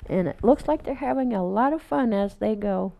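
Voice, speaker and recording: A woman reading a children's picture book aloud, adding casual asides in a gentle, unhurried read-aloud manner. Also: on the high side, vocal fry. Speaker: storytime reader.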